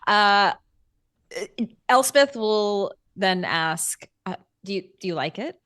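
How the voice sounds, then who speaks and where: A woman playing a tabletop roleplaying game, slipping casually between narrating and speaking in character, with hesitant pauses. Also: mid-range voice, expressive, a bit bright, no echo, studio quality.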